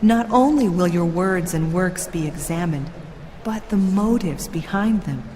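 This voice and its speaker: A woman acting a serene, low-voiced royal ruler. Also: warm tone, slight background noise, natural voice. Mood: solemn. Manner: measured, formal, instructive. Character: serene, solemn female royal under-ruler of a heavenly city. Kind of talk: audio drama acting. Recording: long hall reverb with music behind.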